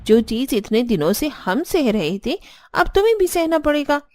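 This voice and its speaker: High-voiced bossy elder sister-in-law played by a woman. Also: very animated, moderate pace, dry recording, clean studio sound. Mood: resigned, firm. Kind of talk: cartoon story dialogue.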